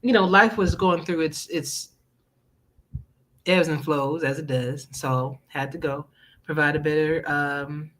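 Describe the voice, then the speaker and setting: Low-pitched American woman hosting casually. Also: fairly bright tone, low-quality recording. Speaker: host of a gaming talk show. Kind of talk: casual interview chat.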